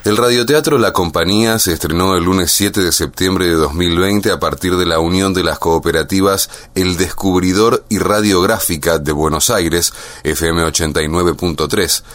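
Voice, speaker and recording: A man's voice: deep voice, moderate pace, fairly bright tone. A man reading a scripted, informative radio report as its narrator.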